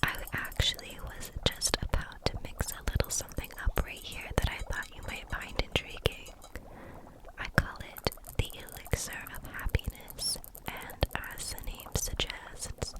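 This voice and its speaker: Softly whispering woman as gentle witch. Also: low-quality recording. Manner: soothing, reassuring, unhurried. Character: gentle, kindly female kitchen witch making potions. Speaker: ASMR roleplay creator. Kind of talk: whispered ASMR roleplay.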